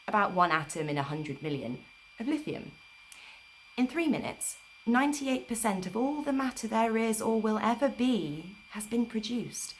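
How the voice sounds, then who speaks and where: A woman reading a book aloud in a measured, deliberate storyteller's delivery on an amateur livestream recording. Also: mid-range voice, a little hiss or hum, rough recording. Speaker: storyteller reading an audiobook live. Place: informal livestream, not a studio.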